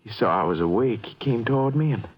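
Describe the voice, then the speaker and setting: An actor playing a plainspoken, folksy rancher in a vintage radio drama. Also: male voice, fairly low pitch, expressive, quick pace, slightly rough. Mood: groggy, recounting. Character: injured male rancher, plainspoken and dismissive. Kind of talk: 1950s radio acting. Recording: dull vintage radio recording.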